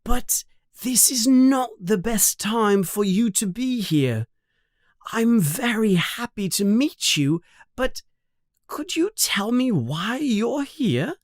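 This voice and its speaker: A woman's voice: on the high side, lively intonation, slow, a little mellow, dry recording, studio quality, voice pushed up. A woman voicing a polite, bewildered and unhappy schoolboy wizard in a storybook, with an earnest, questioning manner. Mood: polite, concerned.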